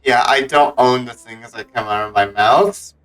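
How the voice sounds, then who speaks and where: Bright-voiced man streaming a game. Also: medium pitch, normal speed. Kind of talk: casual live playthrough commentary. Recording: faint game music behind.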